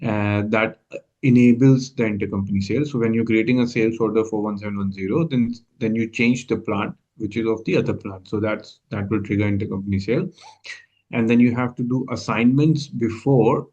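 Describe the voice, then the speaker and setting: A man giving an informal explanatory recap, halting and correcting himself as he goes. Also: fairly low pitch, fairly even intonation, darkish tone, dull audio. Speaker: co-presenter summarizing the process.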